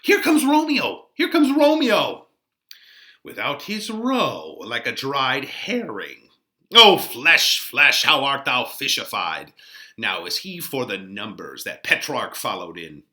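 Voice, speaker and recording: A man's voice: medium pitch, wildly swooping pitch, slow pace, bright, forceful tone, heavily acted. A man playing a mocking, theatrical young Elizabethan wit in an exaggerated dramatic Shakespeare reading. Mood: gleeful teasing. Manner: theatrical, exaggerated, swooping delivery.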